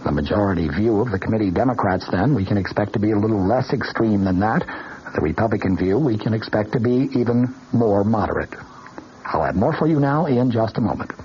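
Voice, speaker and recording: A man giving formal, measured news commentary in the style of 1970s radio. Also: on the low side, animated, darkish tone. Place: radio studio. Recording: muffled, faintly noisy vintage radio broadcast.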